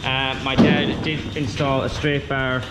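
A man's voice: medium pitch, flat delivery, unhurried. A man in his twenties with an Irish accent, chatting casually as a dairy farmer filming a vlog while he works. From Ireland. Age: 20s. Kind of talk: casual farm vlog chat while working. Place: large metal-roofed milking parlour. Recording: echoey milking parlour, loud machinery noise.

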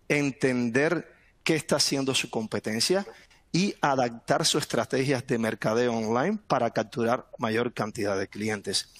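A middle-aged man from Cuba giving a webinar presentation over a headset from home, relaxed, often repeating words and adding "¿no?". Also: mid-range voice, no room sound. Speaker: guest speaker, sales manager. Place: home room indoors. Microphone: headset on video call.

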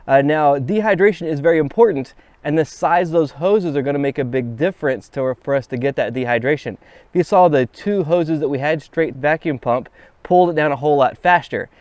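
A man's voice: a little high, a little mellow, no echo. Middle-aged man teaching outdoors, muffled sound. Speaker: HVAC instructor. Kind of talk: on-camera explanation. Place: outdoors on grass by a wooden fence.

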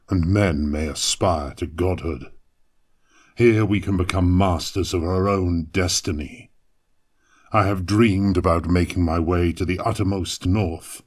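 Deep, slow, grim warrior. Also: male voice. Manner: slow, solemn, portentous delivery. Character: grim, ambitious warrior craving godhood and vengeance. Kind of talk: dramatic audio fiction acting.

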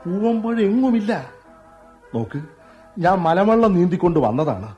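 A man's voice: high voice, very animated, normal speed, warm tone, dull audio, soft background music. An actor playing a playful, stubborn young husband who teases and coaxes his wife. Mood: coaxing. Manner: teasing, cajoling, mock-threatening. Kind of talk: radio play acting.

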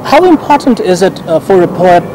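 A man's voice: high voice, big pitch swings, brisk. A young man, a radio presenter, interviewing a guest in a garden with admiring, eager questions and frequent "mm-hmm" replies. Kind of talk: interview hosting. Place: outdoor garden. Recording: outdoor recording with faint background noise.

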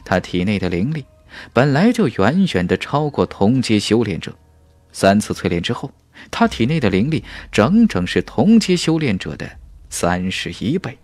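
A man's voice: lowish voice, big pitch swings, moderate pace, darkish tone, no room sound. A man narrating a fantasy novel as an audiobook, in a dramatic, emphatic storytelling style.

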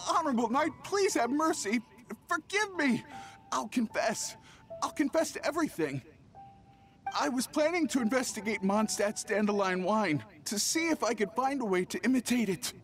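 A man playing a nervous, cowardly young swindler in an anime game, stammering and hesitant. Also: extremely high pitch. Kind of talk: anime game character dialogue.

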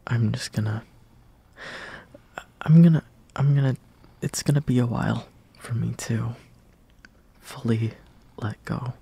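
A young man performing an intimate roleplay monologue as a lovestruck, insecure friend, in a hesitant, breathy half-whisper that trails off. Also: medium pitch, a little slow, pauses often, mellow. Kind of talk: soft intimate roleplay monologue, partly improvised.

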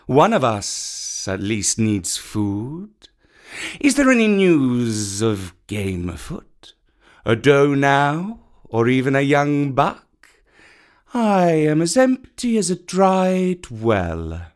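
Storybook role: a man voicing a proud old python who hisses, slow and courteous, with a swooping, lively pitch.

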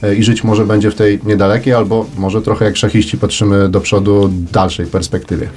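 A man with a fairly low voice hosts an interview. He speaks conversationally and feels his way through long, winding questions, pausing as he goes. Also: little pitch movement, a little mellow, slight background noise. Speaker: interview host. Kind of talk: broadcast interview, hesitant phrasing.

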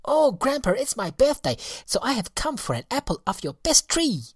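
A woman's voice: a little high, sing-song, normal speed, slightly rough, no echo, studio quality, voice pushed up. A woman voicing a sweet, earnest little girl with a childish manner in a storybook. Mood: merry.

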